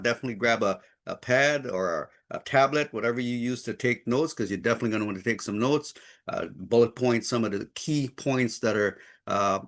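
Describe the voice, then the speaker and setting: A man from the Caribbean hosting an informal online webinar, upbeat and effusive, in a wordy, halting way. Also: mid-range voice, fairly bright tone. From Trinidad and Saint Croix, Caribbean. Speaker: host and trainer of online group call.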